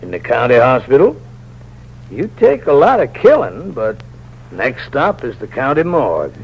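An actor playing a blunt county sheriff in a 1940s radio drama, dry and deliberate, talking like an older hand to a younger man. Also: mid-range voice, wildly swooping pitch, slightly rough, heavily acted. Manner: deliberate, dry, fatherly address. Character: slow, unhurried, dryly blunt male county sheriff. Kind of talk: 1940s radio crime acting. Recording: muffled old radio, faint hum.

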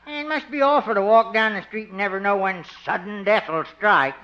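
Gleeful old doctor, bright sharp voice, teasing, chuckling, 1950s radio comedy, muffled recording. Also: male voice, high voice, brisk. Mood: gleefully needling. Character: needling, gleeful old doctor friend, meddlesome joker.

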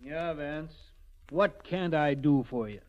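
On a lo-fi 1940s radio recording, a gruff male police sergeant. His voice sits rather high. He grumbles bluntly, with sarcasm and comic exasperation. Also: takes it slowly. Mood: grumbling, sarcastic. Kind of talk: 1940s radio drama acting.

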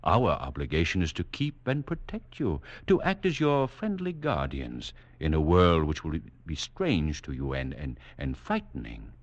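Radio play casting: a very low-voiced superhuman doctor, patronizing and assured, with hugely sweeping melodic delivery. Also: male voice, very animated, lo-fi sound, exaggerated voice. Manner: condescending, lofty, measured, didactic. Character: serenely superior mutant doctor, next stage of man. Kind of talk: 1940s radio acting.

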